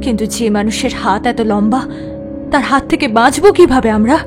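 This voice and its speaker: Actress as a confiding, wary courtesan over soft music. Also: female voice, on the high side, moderate pace, natural voice. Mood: anxious. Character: adult prostitute recounting her past, wary, frank, sometimes sharp-tongued. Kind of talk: Bengali audio story acting.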